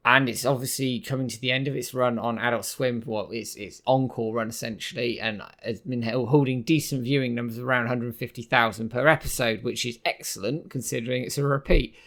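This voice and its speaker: Hoarse man hosting a news video. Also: medium pitch, fairly even intonation, fairly quick, few pauses, a bit bright. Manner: hesitant, many ums and sort-ofs. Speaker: host. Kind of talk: casual news commentary.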